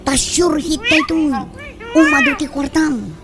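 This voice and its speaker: A woman acting a chatty, scolding character in a comedic radio drama, with an Ilocano Filipino accent and expressive delivery. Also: high-pitched, a little slow, full character voice. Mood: coaxing. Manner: expressive, animated. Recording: loud music behind the voice.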